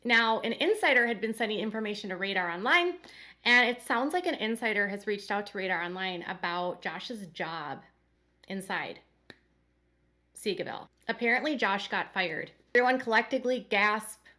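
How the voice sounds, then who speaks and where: A woman's voice: mid-range voice, expressive, moderate pace, bright, forceful tone. A woman hosting a casual commentary channel who talks straight to her viewers in a rambling way, her nose congested from a cold.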